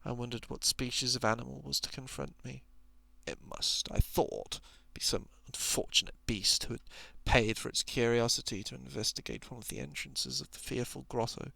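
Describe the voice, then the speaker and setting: A man reading a story in a hushed, deliberate ASMR narration style, often slipping toward a whisper, close to the microphone. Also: mid-range voice, animated, no echo. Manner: hushed, breathy whispering at times, deliberate literary phrasing. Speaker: storyteller reading a short thriller.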